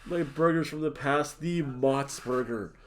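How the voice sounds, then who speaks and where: A slightly high-voiced man from New Jersey, stuffed up and nasal, talks loosely and jokily. He rambles between thoughts, the way a relaxed podcast co-host does. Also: unhurried. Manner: rambling, joking, drinking while talking. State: nasal and sniffly from allergies. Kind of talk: casual chat.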